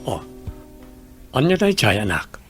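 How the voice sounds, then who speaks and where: A man playing a concerned father in a radio drama, who asks soft, worried questions. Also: medium pitch, huge pitch swings, a little slow, soft background music. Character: worried, gentle middle-aged father. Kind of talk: Ilocano radio drama acting.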